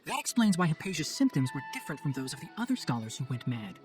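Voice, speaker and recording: A man's voice: a little high, big pitch swings, fast, music in the background. A man playing an earnest, pragmatic young forest scholar in a video game, articulate and thoughtful. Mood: serious. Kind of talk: video game cutscene voice acting.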